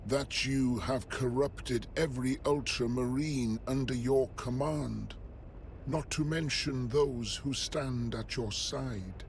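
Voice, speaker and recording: An actor playing a cold, suspicious inquisitor in a video game, formal and authoritarian. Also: male voice, medium pitch, a little hiss or hum. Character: cold, menacing, suspicious inquisitor in grim sci-fi. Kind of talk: video game cutscene acting.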